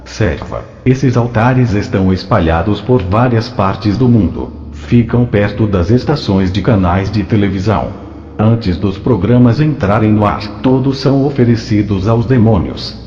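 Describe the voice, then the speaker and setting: A man's voice: on the low side, unhurried, warm tone, natural voice. A man with a Brazilian accent playing God as a solemn Lord, admonishing his servant in a scripted religious testimony. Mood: grave, admonishing. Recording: echoey long reverb, muffled, faint music.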